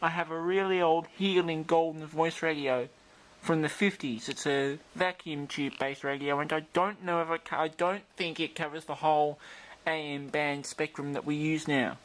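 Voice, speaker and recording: A man's voice: on the high side, steady tone, moderate pace. A man with an Australian accent giving a casual, unscripted show-and-tell, thinking aloud in a hesitant, detail-focused way. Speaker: vintage radio hobbyist, video maker. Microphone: handheld camera or phone microphone.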